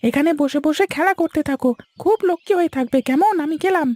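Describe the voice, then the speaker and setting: A woman's voice: sits high, fast, darkish tone, a little hiss or hum, lightly acted. A woman voicing an earnest, obedient schoolboy in a cartoon, a sweet and protective older brother with a childlike manner. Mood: gentle, coaxing. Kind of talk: Bengali cartoon voice acting.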